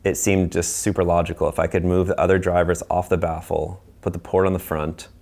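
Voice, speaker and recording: Very deep-voiced man with vocal fry. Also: level tone, brisk, a little mellow. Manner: explanatory, informal. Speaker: audio designer. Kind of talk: casual technical conversation.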